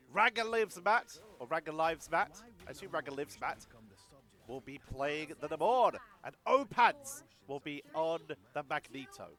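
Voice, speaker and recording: A man's voice: fairly high pitch, sharp tone. A man with a cold-stuffed nasal voice giving solo play-by-play commentary on an esports match, chatty and thinking aloud between calls. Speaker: esports caster. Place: home setup.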